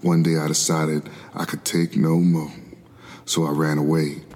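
An actor playing a weary, determined man who escaped slavery in the 1700s, narrating his flight in a measured, solemn stage style. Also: a bass voice, level tone, darkish tone, a little hiss or hum. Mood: grave, resolute. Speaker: narrator in a gospel oratorio. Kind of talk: dramatic scripted first-person narration.

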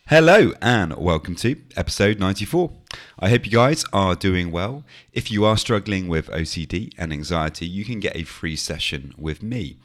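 Man, very low voice, hoarse and croaky, calm explanatory podcast host, restarts phrases. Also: moderate pace. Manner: calm, explanatory, with frequent "you know" and small restarts. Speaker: podcast host and anxiety coach. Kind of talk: solo podcast talk.

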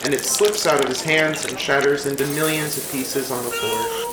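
A man's voice: a little high, wildly swooping pitch, normal speed. A man reading scripted stage directions as narrator of a comedic radio play. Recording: echoey room, clear background noise.

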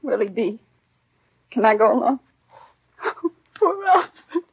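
An actress playing a polite, grieving young widow in a 1950s American radio drama, earnest and genteel. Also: female voice, fairly high pitch, very animated, takes it slowly, husky, heavily acted. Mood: tearful. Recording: narrow-band, noisy 1950s radio recording.

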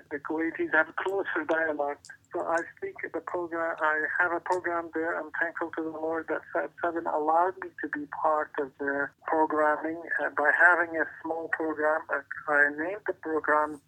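Earnest pastor. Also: male voice, on the high side, little pitch movement, pushed and bright. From Iraq. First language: Arabic. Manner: earnest, with many pauses and restarts. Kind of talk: interview answers and closing prayer. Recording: dull lo-fi audio.

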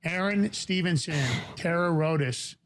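A man from the Bronx with a New York accent, hosting a talk show in a fast, emphatic, indignant way. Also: fairly high pitch. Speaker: podcast host.

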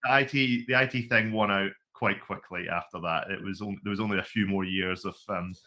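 Bright-voiced Scottish man, low pitch. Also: fairly even intonation, fairly quick. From Scotland. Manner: wry, self-deprecating storyteller. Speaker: podcast co-host. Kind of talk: casual podcast chat. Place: home room full of vintage computers.

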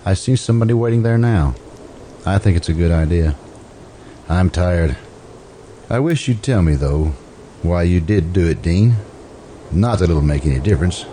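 Man acting a very deep, weary, blunt skeptic. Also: animated, moderate pace, long pauses, mellow. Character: weary, skeptical male escort, blunt questioner. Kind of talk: old-time radio drama acting. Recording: noisy amateur radio drama recording.

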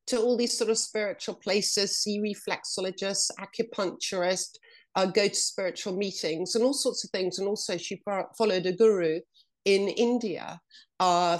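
A woman from Ireland speaking as an interview guest, soft-spoken and reflective, with hesitant restarts, over a video call. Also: mid-range voice. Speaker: intuitive energy reader, guest. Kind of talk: casual interview talk.

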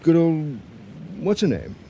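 An actor playing a kindly, gently awkward male teacher in a radio drama, earnest and hesitant. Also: fairly high pitch, big pitch swings, a little slow. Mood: earnest, coaxing. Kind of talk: 1980s radio drama acting. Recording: noisy vintage radio drama recording.

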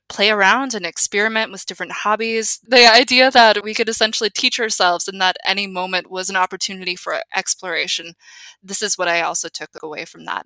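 A woman's voice: medium pitch, bright, forceful tone, no echo. A young adult woman from the United States, a guest in a conversational interview, talking in a reflective, earnest way with frequent restarts.

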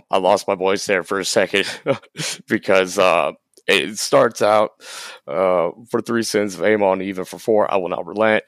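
Man, deep voice, gravelly, dry close sound, co-hosting a casual Bible study chat. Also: dry recording. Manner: meandering, stop-start explanations. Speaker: podcast co-host.

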